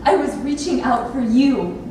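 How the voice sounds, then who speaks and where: A woman's voice: high-pitched, expressive, measured pace. An actress playing an earnest, intense grown daughter of an abusive father in a staged play reading. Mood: intense, moved. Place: theatre lab room with an audience area. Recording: very echoey room, distant mic, noisy.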